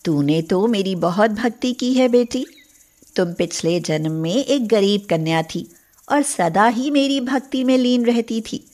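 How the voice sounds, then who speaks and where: Woman as a slow-speaking, solemn mother goddess. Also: medium pitch, darkish tone, close to an everyday voice. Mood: tender, protective. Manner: solemn, maternal, authoritative. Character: mother goddess, benevolent, commanding, protective of her devotees. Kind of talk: animated devotional story acting.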